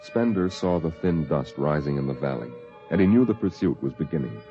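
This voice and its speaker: An actor narrating a science fiction radio drama as a grave, solemn storyteller. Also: male voice, rumbling low, expressive, a little fast. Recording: dull 1950s radio recording with music.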